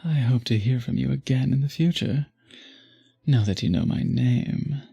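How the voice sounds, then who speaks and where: A man playing a charming, flirtatious, predatory vampire in an ASMR roleplay, murmuring intimately and teasingly with a breathy, husky voice. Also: mid-range voice, normal speed, warm tone. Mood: tender, suave.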